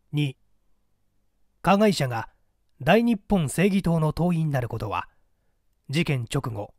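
A man voicing a young detective's stern written report in a formal, declamatory, deliberate manner. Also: a little high, a little mellow, no echo, studio quality.

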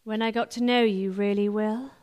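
An actress playing a bitter, blunt, disillusioned wife in a radio play, cool and cutting. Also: female voice, medium pitch, steady tone, normal speed, darkish tone, clean tone, lightly acted. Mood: bitter, cold.

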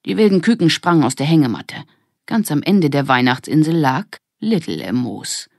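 A woman's voice: low voice, big pitch swings, moderate pace, gravelly, darkish tone, no room sound, clean studio sound. A woman narrating a children's audiobook in a scripted storytelling style.

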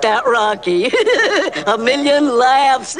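A man's voice: very high voice, exaggerated voice. A man voicing a big dim-witted gangster henchman, eager to please, dopey and stammering. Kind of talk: classic theatrical cartoon acting. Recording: old cartoon soundtrack with loud music.

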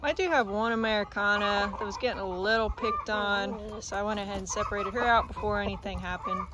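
A young woman chatting casually as she films her farm on a phone held at arm's length, outdoors, sometimes talking to her chickens in a soft coaxing voice. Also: mid-range voice, fairly even intonation, fairly quick, loud music behind the voice. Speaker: homestead vlogger. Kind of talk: casual vlog narration. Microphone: phone camera held at arm's length. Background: clucking chickens and roosters.

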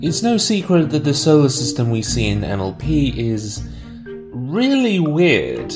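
A man giving scripted, explanatory narration as a video essayist, in a measured and analytical way. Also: on the high side, huge pitch swings. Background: background music.

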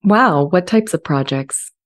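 A woman playing an earnest, friendly, self-aware artificial intelligence in a scripted dramatization, speaking in a measured, articulate way. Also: lowish voice, animated. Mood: friendly, eager. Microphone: studio condenser mic with pop filter, close.

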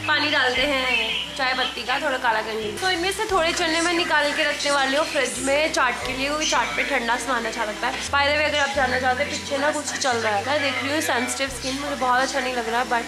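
A woman's voice: high voice, quick pace, hardly any pauses, loud music behind the voice. A woman, chatting casually as she cooks and slipping English words into her talk. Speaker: vlogger and home cook. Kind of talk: casual chat while cooking, mixing in English. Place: home kitchen, indoors. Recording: echoey kitchen with clear appliance noise.

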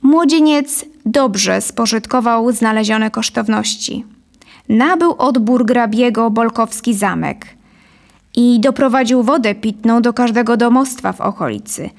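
A woman in her thirties narrating a scripted story deliberately and expressively into a close studio microphone. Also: fairly high pitch. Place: dim studio set, indoors. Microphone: studio condenser on a boom, close.

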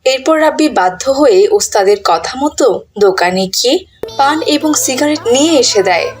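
A woman narrates a children's moral story in a fairly high voice. Her delivery is scripted storytelling. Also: expressive, brisk.

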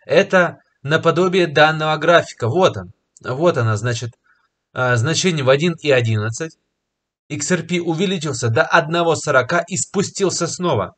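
A young man with a slight cold talks through a crypto price chart with confident, persuasive energy, pausing on filler words as he goes. He sits in a small indoor room, picked up by a webcam. His voice sits at an ordinary middle pitch for a man. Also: fairly bright tone. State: slightly ill, clearing throat and coughing. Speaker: crypto market commentator. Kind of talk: screen-share market analysis to camera. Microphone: webcam in a corner of a screen recording.

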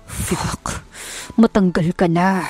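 A woman's voice: on the low side, very slow, a little mellow, slightly rough, dry recording, faint music behind, heavily acted. A woman voicing a frustrated, determined man who mutters tersely in an audiobook drama. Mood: frustrated muttering. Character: frustrated, determined young man escaping captivity. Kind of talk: audiobook character dialogue.

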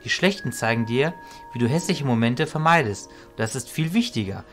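Man playing a calm, wise illusionist mentor. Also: medium pitch, soft background music, lightly acted. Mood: wise, instructive. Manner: measured, sage, didactic.

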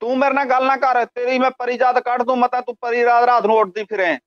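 High-voiced man in his 40s, indignant and scolding, muffled phone-line sound. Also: little pitch movement, a little fast, smooth. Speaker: prank call target. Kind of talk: phone argument. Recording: dull radio-like phone line.

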